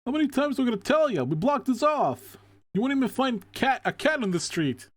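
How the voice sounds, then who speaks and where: A man's voice: very high-pitched, sing-song, a little fast, no room sound, pitched above the performer's own voice, exaggerated voice. A man voicing an irritable officer guarding a blocked-off street in a video game. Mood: exasperated.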